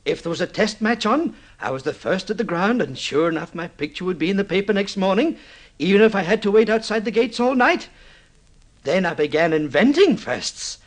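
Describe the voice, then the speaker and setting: An actor playing an excitable, obsessive newlywed man in an old-time radio drama, halting and stammering as he tells his story. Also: high-pitched. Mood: proud, excited. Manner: halting, stammering repeats, swinging into boastful excitement.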